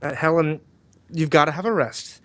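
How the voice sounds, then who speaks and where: A man playing an earnest gentleman in an old time radio drama, hesitant, soothing and formal. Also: a little high, normal speed, lightly acted. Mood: concerned, soothing. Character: earnest, placating gentleman calming an upset woman.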